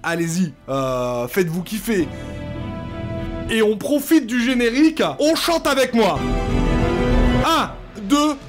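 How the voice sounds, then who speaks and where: Male host from northern France, high and animated, jokey crude commentary over music. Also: takes it slowly, long pauses. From Lille, northern France. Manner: excitable, jokey, crude slang. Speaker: host of a cartoon reaction show. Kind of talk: casual comic commentary. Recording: music behind the voice.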